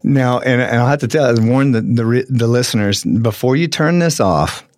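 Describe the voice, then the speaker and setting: A man's voice: medium pitch, moderate pace, a little mellow, no room sound. A middle-aged man co-hosting a casual studio talk show, earnest, often restarting his sentences and laughing easily. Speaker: co-host. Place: radio studio.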